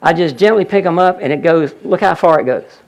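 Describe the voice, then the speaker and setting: A man's voice: a little high, brisk, rough recording. A man presenting a healing seminar, explaining a live demonstration to an audience and repeatedly pointing things out. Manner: explanatory, audience-addressing, frequent "look at that".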